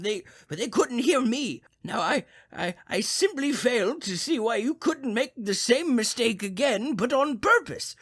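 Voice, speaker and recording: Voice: mid-range voice, a bit bright. An actor playing a crotchety, self-important old man in a 1940s-style suspense radio drama, fussy and indignant, stammering as he makes demands. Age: older.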